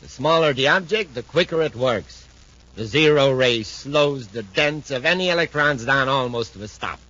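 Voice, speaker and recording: An actor playing a self-assured villainous inventor with a confident, gloating manner in a 1950s radio drama. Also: male voice, medium pitch, expressive, moderate pace, bright, forceful tone. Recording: muffled, faintly noisy 1950s radio recording.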